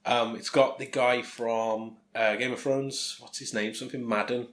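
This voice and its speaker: A man with a British accent hosts a homemade podcast with a friend. His voice sits at a middling pitch, and he talks in a loose, rambling, joking way, like casual chat. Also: fairly even intonation, normal speed, fairly bright tone. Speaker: podcast host. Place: room at home, no studio.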